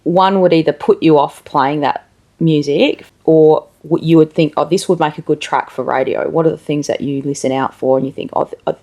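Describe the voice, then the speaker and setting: A woman's voice: low voice, fairly even intonation, brisk, dark tone. A woman hosting a casual interview, asking informal questions built around examples. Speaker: interviewer, show host.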